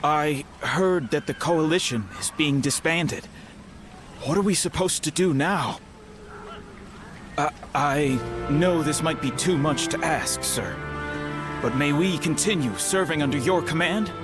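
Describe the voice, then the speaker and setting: A man's voice: on the high side, measured pace, over music, lightly acted. A man playing a humble, eager soldier in a video game, speaking in a hesitant, deferential way. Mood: hesitant pleading. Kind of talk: video game drama acting.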